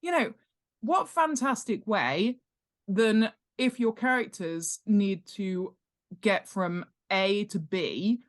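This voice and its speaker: A woman's voice: medium pitch, takes it slowly. A woman speaking as an interview guest, explaining her craft conversationally in an enthusiastic, emphatic way, with an easy laugh. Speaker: interview guest, world-building expert and author.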